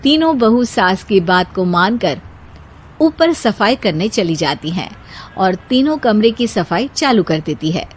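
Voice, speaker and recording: A woman's voice: mid-range voice, sing-song, measured pace, a little hiss or hum. A woman storyteller reading a scripted moral tale as narrator.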